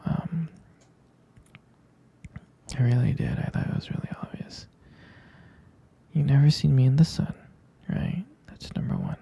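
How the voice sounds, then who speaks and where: A man playing a gentle, reassuring young vampire boyfriend in an intimate sleep-aid ASMR roleplay, murmuring tenderly and hesitantly in a breathy, husky whisper. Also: mid-range voice, very animated, unhurried, long pauses, exaggerated voice.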